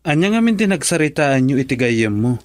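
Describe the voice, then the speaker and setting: A man's voice: a little high, very animated, brisk, no echo. A man acting an indignant young cousin in an Ilocano radio drama, with an Ilocano accent. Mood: surprised.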